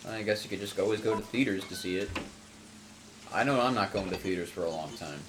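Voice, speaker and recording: A man's voice: sits low, fast, heavy background noise. A man hosting a casual group gaming stream, wry and opinionated as he chats over the game. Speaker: host.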